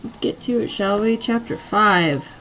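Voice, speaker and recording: A young woman with a hoarse, fading voice, chatting in a rambling way and then reading a novel aloud from home on a webcam. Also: medium pitch, unhurried, fairly bright tone, slight background noise, rough recording. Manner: rambling, chatty, many fillers. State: hoarse, losing voice. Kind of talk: casual vlog chat and book reading.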